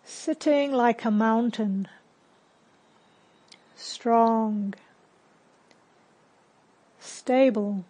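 Slow, higher-pitched woman guiding meditation. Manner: calm, unhurried, gently instructing with long pauses. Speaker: meditation teacher. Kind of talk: guided meditation.

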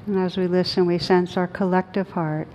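A woman teaching meditation, guiding a group in a gentle, unhurried manner with long pauses. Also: flat delivery. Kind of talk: guided meditation led for a group. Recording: clearly noisy with low rumble.

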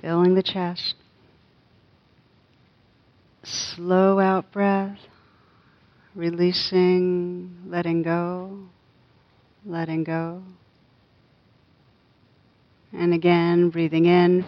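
A woman leading a guided meditation as a teacher, gentle and unhurried, with long pauses between soft phrases. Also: on the low side, flat delivery, lo-fi sound.

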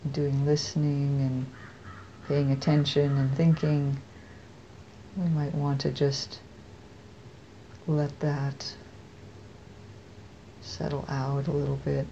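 An American woman leading a guided meditation as a teacher, speaking softly and unhurriedly with long pauses. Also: sits low, lo-fi sound, slight background noise.

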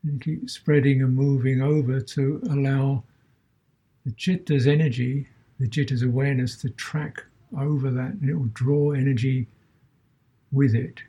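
A man teaching a guided meditation as a Buddhist teacher, speaking in short measured phrases with long pauses between them. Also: medium pitch, steady tone, mellow, breathy edge.

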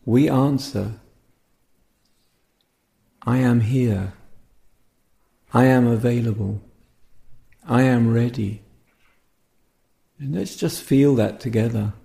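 Guy leading a meditation, super mellow n slow, bit of creaky fry, gentle and reflective, lots of pauses. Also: mid-range voice. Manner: gentle, contemplative, speaking in short pauses-broken phrases. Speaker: meditation teacher. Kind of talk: guided meditation talk.

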